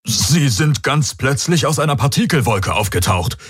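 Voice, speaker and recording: A man's voice: mid-range voice, expressive, normal speed, husky, no echo, clean studio sound, voice pushed up. A man voicing an alarmed spaceship crewman who reports urgently in a science fiction audiobook.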